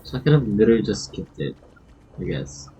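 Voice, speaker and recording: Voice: mid-range voice, sing-song, brisk, mellow, husky. Dull-sounding streamer in casual game commentary. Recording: dull lo-fi mic, faint background noise. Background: video game audio.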